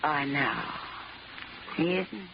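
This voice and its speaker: An actress playing a flighty, gushing rich society wife in a 1940s radio drama, with a breathy, affected drawl. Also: female voice, low voice, drawn-out words, background noise, heavily acted. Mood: coy. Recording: muffled old radio broadcast.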